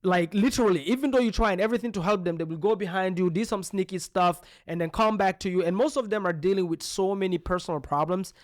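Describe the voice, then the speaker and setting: High-voiced guy from belgium hyping up listeners on a podcast, dry sound, keeps asking "guess what". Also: quick pace, hardly any pauses, no room sound. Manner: emphatic, punchy, rhetorical questions. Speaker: podcast co-host. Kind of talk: real estate coaching talk.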